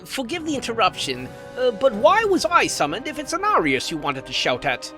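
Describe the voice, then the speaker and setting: A man playing a blunt, uneasy dragon companion in an animated fantasy, reluctant and sardonic. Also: very high-pitched, moderate pace, soft background music, full character voice. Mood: hesitant, sardonic. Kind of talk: animated fantasy drama acting.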